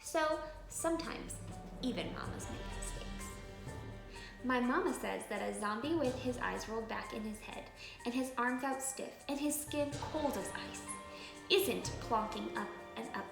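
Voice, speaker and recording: A woman with a fairly high voice reads a children's book aloud in an expressive, dramatic way, stressing key words. The room is clearly echoey, and music plays distinctly behind her. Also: moderate pace. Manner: dramatic, animated delivery with emphatic stresses and hushed moments. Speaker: children's book reader and channel host.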